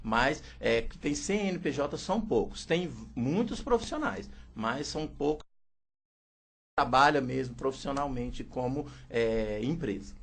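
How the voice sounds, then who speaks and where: A man's voice: mid-range voice, animated, measured pace, a bit bright, a little hiss or hum. A man, a teacher and interpreter, explaining steadily in an interview and often adding "né". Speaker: sign language teacher and interpreter. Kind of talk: radio interview answers.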